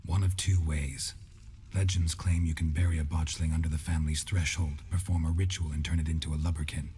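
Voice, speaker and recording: An actor playing a stoic, cynical monster hunter in a video game, blunt and terse with a deadpan delivery. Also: male voice, rumbling low, a little fast. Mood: matter-of-fact. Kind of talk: dramatic video game acting.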